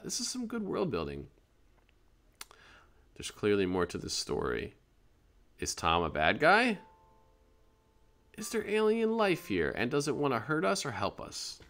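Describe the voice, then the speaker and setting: A man's voice: medium pitch, vocal fry, a bit bright. A man hosting a let's play, chatty and musing aloud as he reads on-screen text. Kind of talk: gaming commentary.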